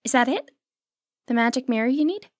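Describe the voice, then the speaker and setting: A woman playing a sly, confident, flirty thief in a fantasy roleplay, playful and cheeky with breathy asides. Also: high-pitched, lively intonation, brisk, no echo, studio quality. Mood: urgent. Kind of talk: scripted fantasy ASMR roleplay, addressing the listener.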